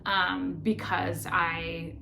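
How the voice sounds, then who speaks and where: Chatty woman with a lowish voice. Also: level tone, extremely slow, creaky, background noise. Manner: chatty, lots of um and like, restarts. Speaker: vlog co-host. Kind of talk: casual chat.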